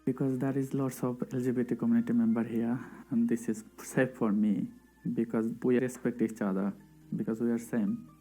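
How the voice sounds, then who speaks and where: Bangladeshi man, dark halting English. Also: medium pitch, level tone, rough recording. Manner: halting, simple English with pauses. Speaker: asylum seeker interviewee. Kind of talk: documentary testimony. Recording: faint music bed underneath.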